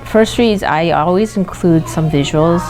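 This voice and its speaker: Low-voiced woman teacher explaining her practice. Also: measured pace, over music, rough recording. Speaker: classroom teacher being interviewed. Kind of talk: interview answers about teaching practice.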